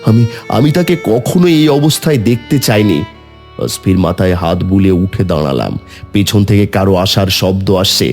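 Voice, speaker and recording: A man's voice: sits low, darkish tone. A man narrating a scripted horror story in the first person, in a dramatic, suspenseful manner.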